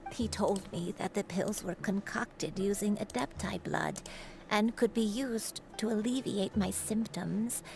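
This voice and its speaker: A woman voicing a frail, gentle elderly granny in a video game, with slow, halting, wistful delivery. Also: medium pitch, vocal fry, a little hiss or hum.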